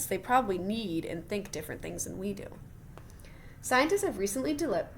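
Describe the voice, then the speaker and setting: A woman reads a children's science book aloud in the manner of a teacher. Her mid-pitched voice moves up and down in a lively way, with exclamations of wonder and encouraging asides. There is faint noise behind her. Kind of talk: animated read-aloud with chatty asides to children.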